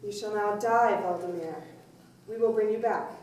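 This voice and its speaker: A woman acting a solemn, commanding soothsayer in a staged play, with a measured, ceremonial and probing delivery. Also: mid-range voice, darkish tone, natural voice. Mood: solemn, calm. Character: solemn, commanding female soothsayer guiding a trance. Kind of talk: dramatic staged play reading. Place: indoor room, staged reading recorded from afar. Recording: very echoey room, distant mic, faint noise.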